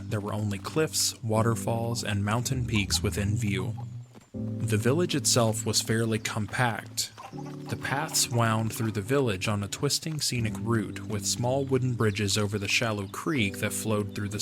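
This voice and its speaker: A man reading scripted story narration as the narrator of an audio drama. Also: fairly low pitch.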